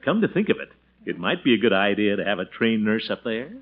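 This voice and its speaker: A man's voice: on the low side, very animated, fast talker. A man playing a pompous, fussy middle-aged uncle in 1940s radio comedy style, chuckling and theatrical. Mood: self-satisfied. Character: blustery, fussy middle-aged uncle guarding his teenage niece. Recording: noisy, muffled old radio recording.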